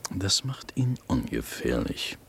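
An actor playing a scheming, corrupt court minister in a radio play, with a sly, insinuating manner. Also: male voice, sits low, very animated, slow pace, gravelly, fairly bright tone, breathy edge, full character voice.

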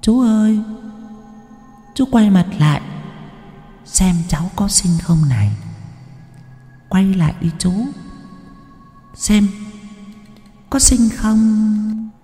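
A man's voice: high-pitched, slow pace, pauses often, warm tone, roomy sound, in a large hall, faint music behind, pitched above the performer's own voice. A man voicing a little ghost girl in a spoken horror story, sweet and coaxing. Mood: eerie coaxing. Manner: sweet, wheedling, drawn out.

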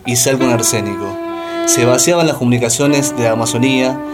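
A man's voice: mid-range voice, brisk, over loud music, close to an everyday voice. A man playing a grave, ironic storyteller narrator in a scripted radio drama.

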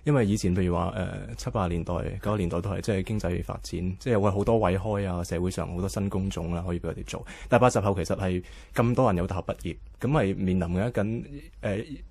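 A young man with a Hong Kong Cantonese accent, giving halting, repetitive opinions in a casual interview. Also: sits low, creaky, a little mellow, breathy edge, no echo. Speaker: interviewee, actor.

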